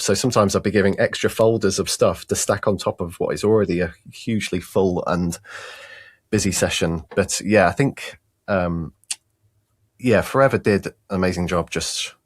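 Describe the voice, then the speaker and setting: A man talking casually as a sound designer in an interview, in halting, loose phrases. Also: low voice, dry recording, clean studio sound.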